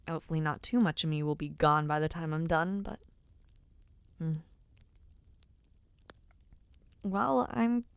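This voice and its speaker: A woman playing an earnest, affectionate young noblewoman and would-be knight in an intimate audio roleplay, speaking with gently formal, sincere phrasing. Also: fairly low pitch, smooth, no echo. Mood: playful, self-deprecating. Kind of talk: audio roleplay, intimate one-sided dialogue to listener.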